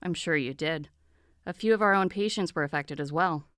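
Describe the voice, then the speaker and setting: A woman's voice: low-pitched, moderate pace, fairly bright tone, no room sound, clean studio sound. A woman playing a harried senior hospital doctor in an audio drama, matter-of-fact and cautious. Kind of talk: dramatic podcast acting.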